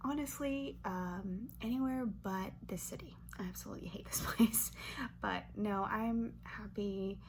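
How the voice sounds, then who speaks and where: A woman in her twenties from Brazil, whispering an ASMR question-and-answer chat close to the mic and softly repeating words over and over as triggers. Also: mid-range voice, unhurried. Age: 20s. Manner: chatty, filler-heavy, with light laughs. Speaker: ASMR creator. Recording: noisy hum under voice.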